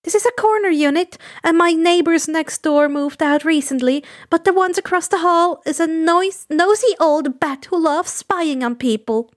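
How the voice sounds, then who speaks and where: A woman plays a middle-aged mother from an anime storybook tale. She speaks in a high voice, earnest and fussy, caring but easily flustered. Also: moderate pace, few pauses. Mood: serious, caring.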